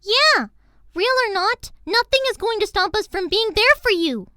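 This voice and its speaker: Tiny filly pony, really squeaky high n bright, plucky cartoon kid. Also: female voice, animated, moderate pace, no echo, clean studio sound, full character voice. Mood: reassuring. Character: small young filly pony, plucky yet jumpy, loyal. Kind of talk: cartoon fan fiction audio drama acting.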